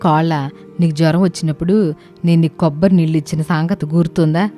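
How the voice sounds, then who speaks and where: Woman playing a low, mellow village mother-in-law. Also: fairly even intonation, a little fast, lightly acted. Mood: wistful. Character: affectionate older village mother-in-law, wistful and plain-spoken. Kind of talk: acted dialogue in animated comedy story. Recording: music under the voice.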